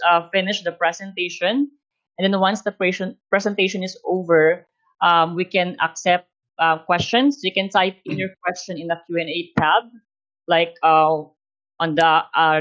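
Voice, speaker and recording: A woman moderating a webinar in a halting, careful way, giving introductions and housekeeping. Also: on the low side, a bit bright. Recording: dull lo-fi online call.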